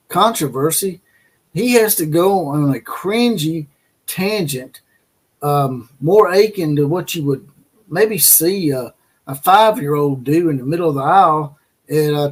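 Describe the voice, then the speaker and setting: A man's voice: fairly high pitch, slightly rough. Brash, crude-talking male sports ranter. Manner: brash, crude and mocking, with fillers and restarts. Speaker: host of a college football commentary channel. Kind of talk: ranting opinion commentary.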